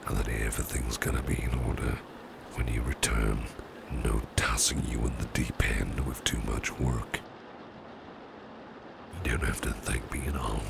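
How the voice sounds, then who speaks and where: A man playing a doting, reassuring businessman boss in an ASMR roleplay, speaking in a breathy, half-whispered murmur with soothing, genteel phrasing. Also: rumbling low, little pitch movement, normal speed, pauses often, background noise, exaggerated voice. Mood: soothing, protective. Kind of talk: ASMR personal-attention roleplay.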